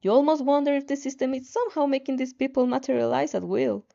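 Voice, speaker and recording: A woman's voice: high-pitched, steady tone, fast talker, vocal fry, mellow, highs cut off. A woman reading a story aloud, often stumbling and repeating words as she narrates. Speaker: fan fiction narrator.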